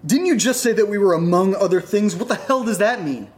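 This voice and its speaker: A man's voice: high voice, expressive, brisk. A man acting an exasperated, rattled young American in a naturalistic horror audio drama, emphatic and sweary. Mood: exasperated, agitated.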